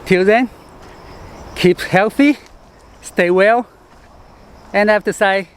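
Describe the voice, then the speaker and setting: A man from Chengdu with a Chinese accent, a travel vlogger talking casually to camera outdoors, halting and full of fillers. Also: sits high, clean tone. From Chengdu, China. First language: Sichuanese. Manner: chatty, halting, with frequent fillers and laughs. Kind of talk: casual vlog talk to camera. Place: outdoors in the city.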